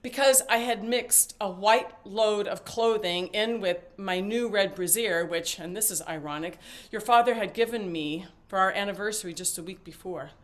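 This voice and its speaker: A talkative middle-aged mother, played by a stage actress, speaks with theatrical emphasis and halting detours. She is evasive yet fiercely devoted, at a medium pitch. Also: natural voice. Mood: rambling, indignant. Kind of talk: one-act stage play acting.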